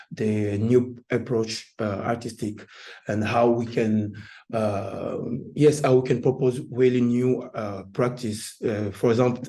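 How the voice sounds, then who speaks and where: A man from Congo with a Congolese French accent, an artist presenting in an informal webinar, speaking haltingly and searching for words in imperfect English. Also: mid-range voice, warm tone. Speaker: artist presenting their work.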